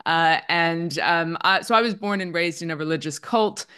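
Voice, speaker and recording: A woman's voice: on the low side, normal speed, sharp tone, clear, resonant tone. A Dutch-born woman in her forties with a slight Canadian accent, openly telling her life story on a video call as a psychotherapist. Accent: somewhat Canadian. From Netherlands. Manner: open, reflective, with fillers like "um" and "like". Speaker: guest, psychotherapist.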